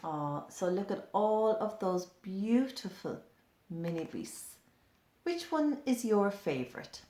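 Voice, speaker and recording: A woman's voice: medium pitch, big pitch swings, takes it slowly, warm tone. A woman reading and explaining a story for young children in simple, repetitive phrases with questions. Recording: roomy, echoing indoor sound.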